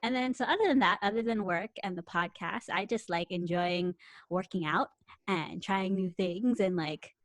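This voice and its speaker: A woman's voice: on the low side, moderate pace, no echo, clean studio sound. A woman in her twenties with an American accent, chatting casually in an upbeat way. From US, San Francisco Bay Area. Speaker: podcast guest, podcaster. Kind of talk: casual chat.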